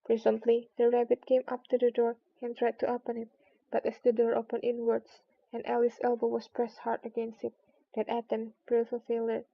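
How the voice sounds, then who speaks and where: A woman narrating a storybook in an expressive read-aloud style. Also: on the high side, normal speed, vocal fry, dry recording. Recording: dull lo-fi recording.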